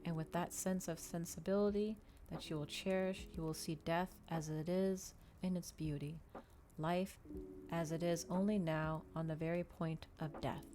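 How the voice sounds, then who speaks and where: Woman acting predatory male vampire, cold seductive mentor, commanding, low-pitched, audiobook dialogue, noisy recording. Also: steady tone, normal speed, warm tone, lightly acted. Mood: coolly persuasive. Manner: lecturing, commanding. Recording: noticeable background noise.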